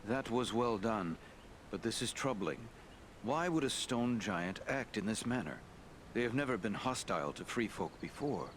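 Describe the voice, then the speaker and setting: A wise and courteous lord from a fantasy game, played by a man. His voice sits fairly low, with formal, measured phrasing and a grave manner. There is faint rumbling behind it. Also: lively intonation, slight background noise, natural voice. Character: wise, courteous male lord counselling young heroes. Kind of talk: dramatic fantasy game acting.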